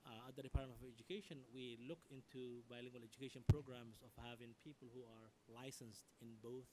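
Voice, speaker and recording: A man speaks at an ordinary middle pitch in a careful, explanatory way with plenty of pauses and fillers. The panel recording carries a clear, steady hiss underneath his voice. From Somalia. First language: Somali. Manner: measured, explanatory, with frequent fillers. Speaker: education department official on a panel. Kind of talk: panel discussion.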